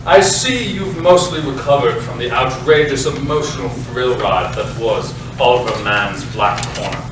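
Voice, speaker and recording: A man's voice: mid-range voice, moderate pace, close to an everyday voice. Man as a pompous playwright, very echoey. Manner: pompous, self-important. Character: pompous, defensive playwright presenting his lurid works. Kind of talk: comic theatrical monologue to audience. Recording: very echoey noisy hall, far off.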